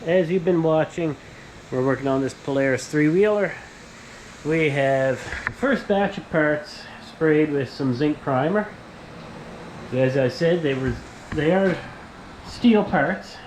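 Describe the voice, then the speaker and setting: Casual male tradesman presenter, slightly high-pitched, plain step-by-step delivery, background workshop noise. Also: unhurried, low-quality recording. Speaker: host, powder coating tradesman.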